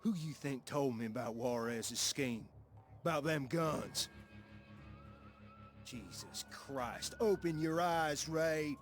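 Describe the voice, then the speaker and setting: An actor playing a skeptical, defiant Old West gunslinger in a video game, folksy and confrontational. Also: male voice, medium pitch, takes it slowly, soft background music, natural voice. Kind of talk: dramatic video game cutscene acting.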